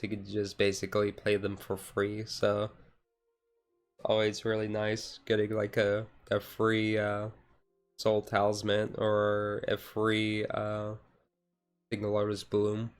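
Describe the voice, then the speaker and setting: A man streamer chatting casually in a chill, low-key livestream, rambling along with hesitant pauses. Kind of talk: casual chat, ASMR-style chill livestream.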